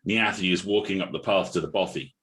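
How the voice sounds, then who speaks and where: A man's voice: low-pitched, a little fast, a bit bright. A man reading dramatic scripted narration and stage directions as the narrator of a script read-through.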